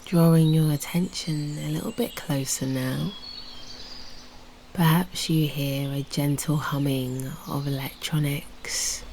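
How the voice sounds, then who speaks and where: A British woman guiding a meditation in a soft, breathy, near-whispered voice, soothing and unhurried, leaving long pauses. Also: low-pitched, steady tone, a little hiss or hum. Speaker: meditation guide and host. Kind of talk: guided meditation narration.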